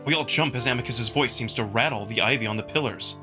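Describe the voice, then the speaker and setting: A man's voice: medium pitch, fast talker, music in the background. A man reading dramatic scripted narration for a story. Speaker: narrator and reader of a visual novel.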